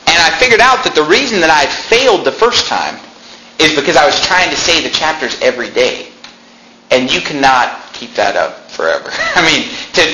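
A man's voice: mid-range voice, pushed and bright. A man in his twenties tells a personal story to a congregation in a casual, chatty way, repeating phrases and turning to the audience with asides. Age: 20s. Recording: roomy echo, faint noise.

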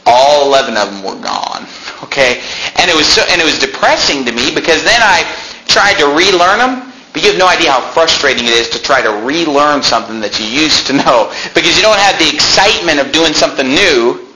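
Bright-voiced young man in echoey hall. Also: a little high, lively intonation, fairly quick. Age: 20s. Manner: conversational, repeats phrases, chatty asides to the audience. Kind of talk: casual personal testimony. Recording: roomy echo, faint noise.

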